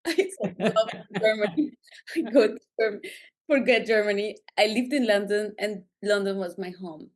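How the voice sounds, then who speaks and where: A woman with a Colombian accent chats as a podcast guest. She tells her story in short, quick fragments and laughs often. She talks over a remote call. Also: medium pitch. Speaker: podcast guest, business founder. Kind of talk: casual interview chat. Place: remote call from a jungle location.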